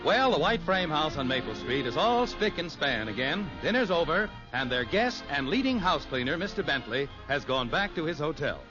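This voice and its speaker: Animated American vintage radio announcer, male. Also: fairly high pitch, normal speed, hardly any pauses. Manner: polished, emphatic. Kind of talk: 1950s radio announcing, scripted. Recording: dull vintage radio recording. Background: music.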